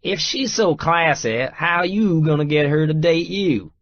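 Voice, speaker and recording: A man acts out a teasing, rough-edged brother in an audiobook, skeptical and folksy. The voice is lifted above the narration, slow and dry, with a slightly dull sound. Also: on the high side, no echo, voice pushed up. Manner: folksy, ungrammatical, needling. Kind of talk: audiobook character dialogue.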